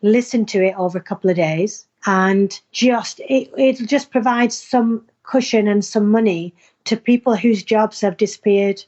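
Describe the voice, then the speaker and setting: An actress talks in an informal interview, earnest and full of emphatic enthusiasm. Her voice sits at an average pitch for a woman. The recording is slightly dull and lo-fi. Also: a little slow, warm tone. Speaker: actor being interviewed. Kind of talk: informal interview, promoting an audio play.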